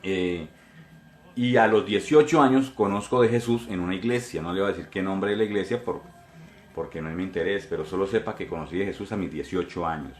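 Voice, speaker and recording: A Colombian man in his forties preaches his testimony in an earnest, direct way, circling back over his own words. His voice sits on the low side. The sound carries a noticeable room echo. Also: a little fast. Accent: Colombian Spanish. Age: 40s. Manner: earnest, repetitive, addressing listeners directly. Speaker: pastor. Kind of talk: sermon testimony.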